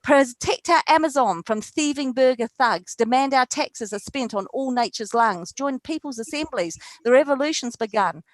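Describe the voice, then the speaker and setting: A woman's voice: high voice, expressive, normal speed, hardly any pauses, no echo. A woman from New Zealand with a New Zealand accent, performing a spoken word poem with a rhythmic, rhyming delivery over a video call. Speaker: poet.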